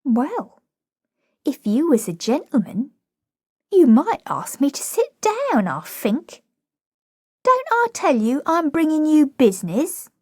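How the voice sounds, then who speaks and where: A woman's voice: sits high, huge pitch swings, slow pace, no echo, studio quality, pitched above the performer's own voice, exaggerated voice. A woman voicing a proud, indignant young Cockney flower girl, saucy and whining, in a dramatic play reading.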